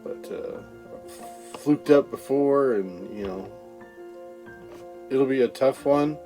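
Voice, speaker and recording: A middle-aged Canadian man, a comedian hosting his show, talking casually to viewers in a halting, rambling, informal way. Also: mid-range voice, dark tone, faint music behind, poor audio. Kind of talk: casual vlog talk to viewers.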